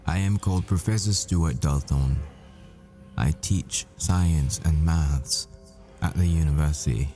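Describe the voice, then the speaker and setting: A man playing a tender, desperate boyfriend, a secretive professor, in an intimate, halting, wistful audio roleplay monologue. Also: a bass voice, dark tone. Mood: grave, confessional. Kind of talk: intimate ASMR-style dramatic roleplay monologue. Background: music.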